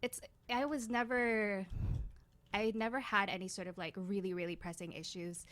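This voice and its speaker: A woman's voice: mid-range voice, steady tone, normal speed, a bit bright. A woman talking casually as a guest in an interview. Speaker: guest, radio drama writer and producer.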